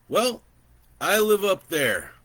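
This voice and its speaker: Old landowner , read by a man: easygoing, welcoming, slow and bright, higher than the narrator. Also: very animated, no room sound, exaggerated voice. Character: friendly older male horse-riding landowner.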